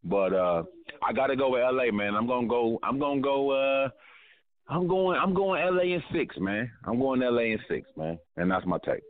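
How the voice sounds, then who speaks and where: A man on a sports talk panel giving his prediction in a relaxed way, with halting restarts. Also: mid-range voice. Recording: phone-line audio.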